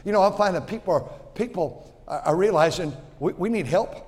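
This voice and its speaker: Man, 60s, pastor, sermon, folksy, repeats words, fairly high voice, long hall reverb. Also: lively intonation, fairly quick. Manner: folksy, repeats words, swings from loud to quiet.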